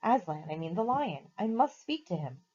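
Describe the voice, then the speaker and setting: A woman's voice: medium pitch, very animated, moderate pace, darkish tone, muffled recording, close to an everyday voice. A woman voicing an earnest, anxious boy pleading urgently and a little breathlessly.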